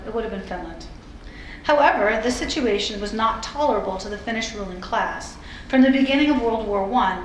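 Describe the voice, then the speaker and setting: A woman giving a scripted history lecture in a measured, articulate way, with the occasional informal aside. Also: mid-range voice. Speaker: lecturer presenting a historical talk. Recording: roomy echo with faint background noise.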